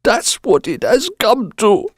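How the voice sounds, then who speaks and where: A man's voice: high-pitched, big pitch swings, slow, husky, dry recording, clean studio sound, pitched above the performer's own voice, full character voice. A man voicing a meek, flustered haberdasher of sixty, weak and whining, in an audio drama. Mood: aggrieved. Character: meek, flustered sixty-year-old haberdasher husband, aggrieved. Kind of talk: dramatised short story acting.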